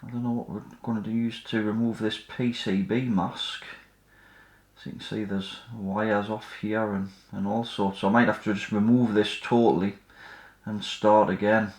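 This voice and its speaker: A man's voice: on the low side, level tone, moderate pace. Man thinking aloud in an echoey room. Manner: tentative, thinking aloud while working. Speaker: host of an electronics repair channel. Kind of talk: casual hands-on repair commentary. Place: indoors at a repair workbench. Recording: roomy, echoey indoor sound.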